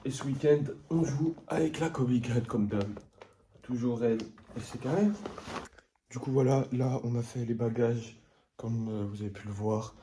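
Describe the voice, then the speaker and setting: A man's voice: medium pitch, normal speed, loud music behind the voice. A young man, a vlogger and player, chatting casually and talking straight to his viewers. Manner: relaxed, talks straight to viewers. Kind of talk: casual vlog chat.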